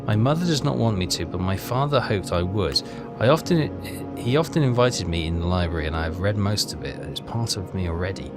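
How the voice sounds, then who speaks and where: A man's voice: sits low, lively intonation, brisk. A man giving casual game commentary and reading text aloud, hesitant and repeating himself as he thinks aloud. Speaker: let's player. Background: game music.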